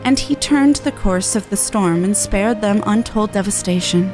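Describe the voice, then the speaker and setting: A woman voicing a courteous, formal storyteller lady who recites old legends in a measured, archaic manner for a fantasy game. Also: medium pitch, lively intonation, music in the background, close to an everyday voice. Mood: solemn, reverent.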